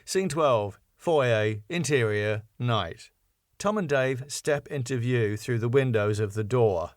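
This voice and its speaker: A man's voice: mid-range voice, takes it slowly, no room sound. Man narrating a script, dry close sound. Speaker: narrator and writer reading his script. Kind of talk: scripted narration of stage directions.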